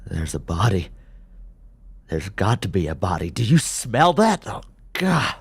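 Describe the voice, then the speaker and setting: A man's voice: sits low, big pitch swings, unhurried, husky, no echo, heavily acted. A man playing a rattled veteran police officer in an audiobook, urgent and disturbed. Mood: alarmed.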